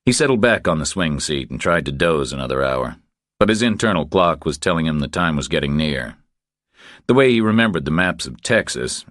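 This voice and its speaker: A man reading scripted thriller fiction as an audiobook narrator, in a measured, deliberate storytelling manner. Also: very deep voice, a bit bright, dry recording, studio quality.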